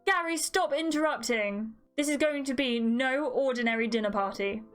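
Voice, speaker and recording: A bubbly young hostess played by a woman reading a game speaks in a high, excitable voice. She is playfully bossy, over faint background music. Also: normal speed. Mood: excited, bossy.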